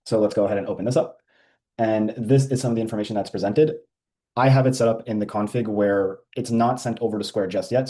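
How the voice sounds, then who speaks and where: A man walking through a software feature in a casual screen-share demo, with frequent ums and uhs. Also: fairly low pitch.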